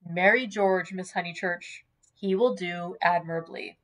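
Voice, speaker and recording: A woman's voice: fairly low pitch, fairly even intonation, measured pace, poor audio. Woman voicing a stern, contemptuous man. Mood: cold disdain. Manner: sharp, clipped, disdainful. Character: stern, cold, contemptuous man of quiet authority.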